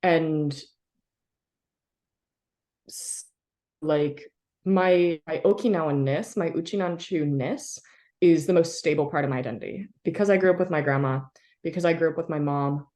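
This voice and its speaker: A woman with a notably low voice speaks as an interview guest and historian. She talks in a reflective, halting way, pausing often to search for words. She is from the United States. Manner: thoughtful, halting, many fillers and soft laughs.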